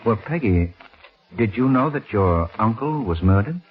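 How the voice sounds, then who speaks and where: A man playing a calm, determined gentleman detective in a 1940s radio mystery, speaking in an urbane, deliberate way. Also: lowish voice, husky. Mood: measured, probing. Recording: dull lo-fi 1940s radio recording.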